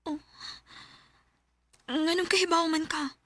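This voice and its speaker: An actress with a Cebuano Filipino accent playing a young widow torn by guilt over new love in a radio drama. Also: female voice, very high voice, fairly even intonation, slow, bright, forceful tone, no room sound, exaggerated voice. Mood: troubled.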